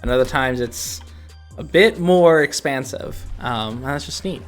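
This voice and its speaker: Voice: medium pitch, a little slow, faint music behind. A podcast co-host, chatting casually about video games and explaining things in a hesitant, thoughtful way. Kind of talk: casual video game discussion.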